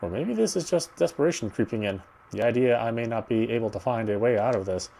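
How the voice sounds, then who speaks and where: A man's voice: mid-range voice, animated, quick pace, gravelly, warm tone, a little hiss or hum. A man reading a visual novel's first-person narration aloud as he plays. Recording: voice over game music.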